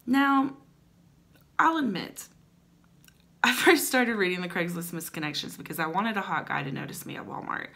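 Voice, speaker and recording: A woman's voice: fairly low pitch, lively intonation, moderate pace, creaky, long pauses, pushed and bright. A young adult woman hosting a comedic dramatic reading with chatty asides, in a playful, wry manner with theatrical emphasis. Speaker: host. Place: small indoor room at home.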